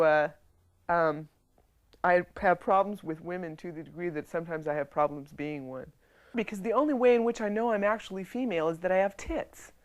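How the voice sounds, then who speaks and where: A woman with a deep voice talks frankly and wryly about herself in an interview, often restarting her sentences. Faint music plays underneath. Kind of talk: candid personal interview.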